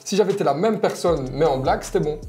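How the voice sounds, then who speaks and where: A man's voice: on the high side, expressive, fast talker, echoey room, over music. A man in his mid twenties speaking assertively in a casual group discussion, repeating points for emphasis. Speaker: panelist, works as a manager.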